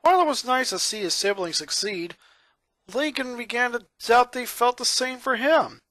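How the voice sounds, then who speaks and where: A narrator reading a scripted story aloud in an expressive, dramatic storytelling manner. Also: mid-range voice, normal speed, no room sound.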